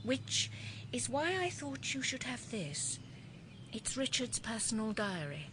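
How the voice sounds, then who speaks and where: A woman's voice: fairly high pitch, sing-song, unhurried, heavy background noise. A woman playing a composed, perceptive policeman's widow in a radio drama, direct and articulate. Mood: candid, restrained. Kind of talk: British radio drama acting.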